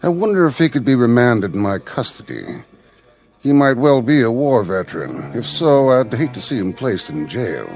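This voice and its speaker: An actor playing a refined gentleman veteran in a radio drama, courteous, eloquent and smoothly solicitous. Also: medium pitch, sing-song, soft background music. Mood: suave, solicitous. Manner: formal, measured, eloquent.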